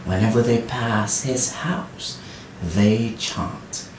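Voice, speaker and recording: A woman reading a children's story expressively as a teacher, with deliberate phrasing and dramatic pauses. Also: extremely low pitch. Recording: roomy, distant, faint noise.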